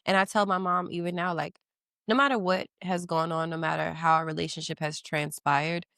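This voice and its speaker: A woman in her thirties speaking candidly as a podcast guest, animated and quick to laugh, with casual slang. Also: on the low side, gravelly, no room sound, clean studio sound. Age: 30s. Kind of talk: casual interview chat.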